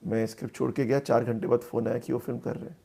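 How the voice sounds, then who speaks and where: A man giving conversational interview answers about his work as a filmmaker, speaking in a halting, thoughtful way and mixing in English phrases. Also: medium pitch, little pitch movement, creaky, mellow, breathy edge. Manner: halting, thoughtful, with repeated words and pauses; mixes English phrases into Hindi.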